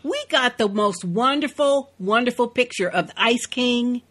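A woman co-hosting a podcast in casual chat, chatty with drawn-out emphasis. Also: fairly high pitch, a bit bright. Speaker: podcast co-host.

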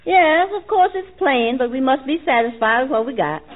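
High, prim radio housewife. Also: female voice, lively intonation, normal speed. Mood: gracious, affected. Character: prim, wary woman at home, putting on modest airs. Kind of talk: 1940s radio comedy acting. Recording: narrow, tinny vintage radio recording.

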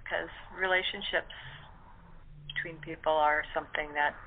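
A woman's voice: deep voice, steady tone, moderate pace, a little hiss or hum. A woman speaking as a guest in a casual panel chat. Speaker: guest, cast member. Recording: thin telephone line.

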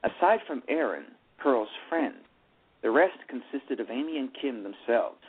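A man's voice: mid-range voice, animated, measured pace, slightly rough. A man narrating a story, reading aloud and often stumbling over and repeating words. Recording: tinny phone line with faint music.

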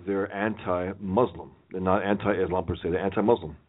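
A man's voice: deep voice, fairly even intonation, normal speed. A man calling into a political talk show, blunt and emphatic, cutting in with strong agreement. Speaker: call-in guest. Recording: phone-like lo-fi audio.

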